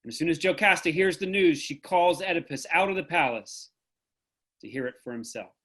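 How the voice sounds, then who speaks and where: A man's voice: a little high, fairly quick, fairly bright tone. Man briefly narrating scene changes. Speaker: director narrating scene notes.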